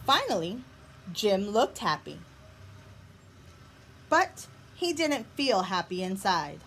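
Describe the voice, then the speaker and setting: A woman reading a picture book aloud as a teacher, in an expressive storytelling style for children. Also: mid-range voice, slow pace, gravelly, slight background noise, rough recording.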